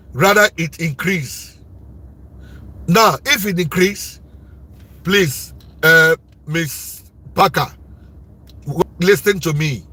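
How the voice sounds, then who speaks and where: A man with a Nigerian accent giving impassioned political commentary in a loud voice, forceful and repetitive, like preaching. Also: high-pitched, breathy edge.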